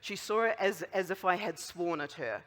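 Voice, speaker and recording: Brief: New Zealand-accented male conference speaker, high bright voice, wry and chatty, long hall echo. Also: moderate pace. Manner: chatty, wry humour, many fillers. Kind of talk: conference closing talk.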